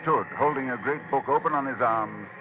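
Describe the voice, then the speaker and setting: A man narrating a horror radio drama in a grave, measured storytelling manner. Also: medium pitch, heavy background noise. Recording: dull old radio broadcast.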